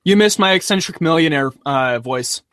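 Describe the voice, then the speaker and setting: A man's voice: a little high, expressive, moderate pace, a bit bright, no echo, clean studio sound. A man, a voice actor on a readers' theater show, chatting casually between his lines.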